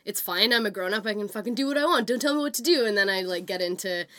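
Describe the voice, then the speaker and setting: Raspy hoarse woman cohosting a podcast, chatty and sweary, dry close sound. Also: medium pitch, expressive, quick pace, bright, forceful tone, no room sound. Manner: chatty, swears freely, many fillers. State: hoarse from a cold. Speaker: podcast co-host. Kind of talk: casual chat.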